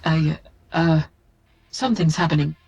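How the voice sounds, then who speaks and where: Female military ops officer for a strategy game: loyal, urgent, clipped, fairly low, noisy backdrop. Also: a little slow. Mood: alarmed, bewildered. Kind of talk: video game cutscene acting. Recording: clearly noisy background.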